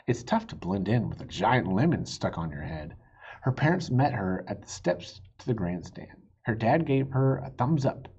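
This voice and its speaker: Male children's book reader: animated, explanatory, very raspy, swinging pitch, dull lo-fi sound. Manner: animated, explains words to young listeners. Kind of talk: expressive storybook read-aloud with asides.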